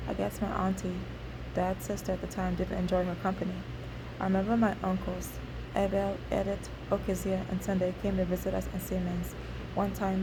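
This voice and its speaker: Flat-toned woman reading her memoir. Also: mid-range voice, background noise. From Nigeria. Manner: steady, unhurried reading with occasional restarts. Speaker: memoir narrator.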